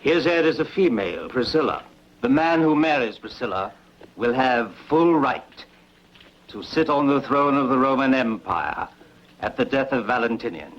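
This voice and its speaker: A man playing a smooth, scheming Roman politician, measured and persuasive, in a dubbed sword-and-sandal film. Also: mid-range voice, lively intonation, natural voice. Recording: muffled lo-fi dub.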